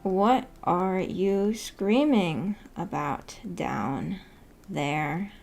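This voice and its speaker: A woman's voice: fairly low pitch, extremely slow, gravelly, a little mellow, faint music behind. A woman streamer chatting casually with viewers, rambling and correcting herself as she goes. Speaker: streamer hosting a book club chat. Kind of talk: casual livestream chat, reading and answering comments. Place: indoors at home, upstairs room.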